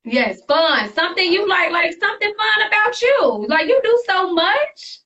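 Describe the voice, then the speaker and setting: A woman's voice: extremely high pitch, very animated, moderate pace, sharp tone, highs cut off. A woman hosting a casual, hyped-up interview, with a bubbly, slangy, reactive way of talking. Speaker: host interviewer. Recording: distant mic with music behind.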